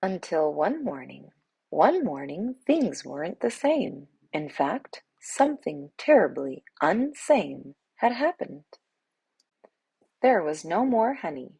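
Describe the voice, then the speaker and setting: A woman's voice: low voice, sing-song, takes it slowly, vocal fry, mellow, slightly rough, no echo. A woman reading a children's picture book aloud expressively, as a storyteller. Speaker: read-aloud host, storyteller.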